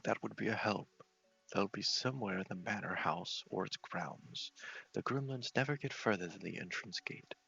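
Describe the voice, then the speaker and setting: A man's voice: fairly low pitch, moderate pace, creaky, pushed and bright, husky, muffled recording, faint music behind, heavily acted. A man voicing an eccentric, polite, muddled scientist with fussy speech in an ASMR game roleplay. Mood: apologetic.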